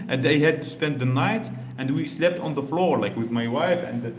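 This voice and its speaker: Man, from Algeria, reaction host, halting and filler-heavy delivery, roomy echo, faint hum behind. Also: medium pitch. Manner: halting, many fillers and restarts. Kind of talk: casual commentary on a video.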